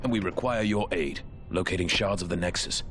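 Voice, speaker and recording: An actor playing a noble, earnest warrior god with a stately, archaic manner in a video game, with noise behind. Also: male voice, low-pitched, fairly quick, a bit bright. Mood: relieved, purposeful.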